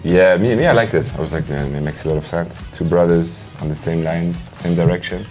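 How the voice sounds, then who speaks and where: A young musician chats in a relaxed interview format. His voice is very deep. Some music plays softly underneath the conversation. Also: brisk. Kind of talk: casual interview chat. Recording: music playing behind the talk.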